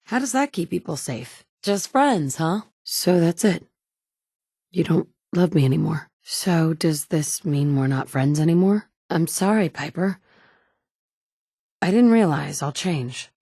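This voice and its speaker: A woman's voice: sits low, animated, measured pace, warm tone, slightly rough, no room sound, studio quality, heavily acted. An actress voicing a blunt, wry woman survivor in a video game, plain-spoken and direct, by turns tender and curt. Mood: even. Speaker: player character. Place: recording studio.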